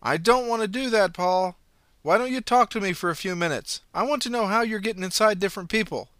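A man's voice: a little high, big pitch swings, fairly quick, no echo, voice pushed up, heavily acted. A man voicing a stern adult female police constable in an audiobook, firm and commanding. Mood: coaxing. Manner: firm, commanding, shouts when chasing. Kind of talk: audiobook character voice.

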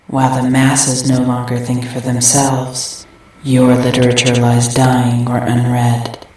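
A performer voicing a cold, cruel female entity of nothingness, speaking in slow, detached pronouncements. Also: mid-range voice, monotone, a little mellow, slight background noise, close to an everyday voice. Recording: heavy echo, distant from mic.

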